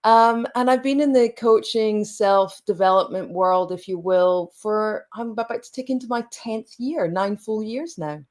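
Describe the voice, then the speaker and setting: A woman's voice: mid-range voice, darkish tone. Reflective woman in her late forties. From Scotland. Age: late 40s. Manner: reflective, halting, many restarts. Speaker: coach, guest. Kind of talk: conversational interview.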